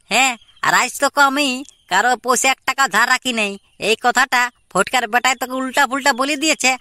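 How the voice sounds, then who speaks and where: A woman's voice: high voice, pushed and bright, dry recording. A woman voicing an indignant young village son in a cartoon comedy, arguing insistently in a Purulia Bengali accent. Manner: argumentative, repeatedly demanding agreement. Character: hot-headed, indignant young village son wanting marriage. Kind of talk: amateur cartoon comedy dialogue.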